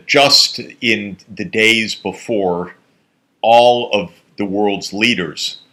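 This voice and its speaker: A deep-voiced man speaks slowly at a conference, pausing often between words. His tone is bright and forceful. The result is a halting, formal address. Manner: halting, with frequent "uh" pauses. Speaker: economist giving a conference address. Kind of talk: formal speech.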